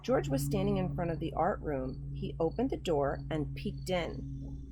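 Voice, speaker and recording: A woman reading a picture book aloud to young children in an expressive, simple storytelling manner. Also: fairly low pitch, measured pace, noisy background. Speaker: children's storytime host, gymnastics coach.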